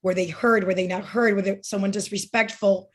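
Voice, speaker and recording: A woman's voice: medium pitch, steady tone, fairly quick. A woman in her forties from Miami, giving an earnest campaign speech as a judicial candidate, with frequent ums and restarts.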